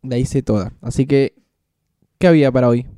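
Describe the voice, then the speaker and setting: A man with an Argentine Spanish accent, co-hosting a casual podcast and telling anecdotes in a lively, joking way. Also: mid-range voice, normal speed, dry recording, studio quality. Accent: Rioplatense Spanish. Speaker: podcast co-host.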